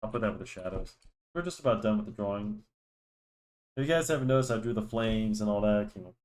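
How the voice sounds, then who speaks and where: A young man with a lowish voice talks loosely as he draws, looping back through restarts and fillers. He has a cold and sounds a bit nasal and stuffy, recorded on a desk microphone. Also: fast talker, a little mellow. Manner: rambling, lots of restarts and fillers. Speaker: drawing channel host. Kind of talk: casual chat while drawing.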